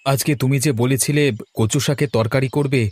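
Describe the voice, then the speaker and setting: Man voicing a fast-talking mild village man. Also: mid-range voice, fairly even intonation, darkish tone, natural voice. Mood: reassuring. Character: mild village man, caring poor husband and father. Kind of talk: cartoon story dialogue.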